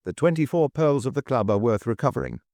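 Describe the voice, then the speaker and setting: A man's voice: medium pitch, fast talker, gravelly, darkish tone, no room sound, clean studio sound, voice pushed up, lightly acted. A man voicing a stiff military colonel in a storybook, harsh and raucous, issuing rigid commands. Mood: commanding. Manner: rigid, barking orders.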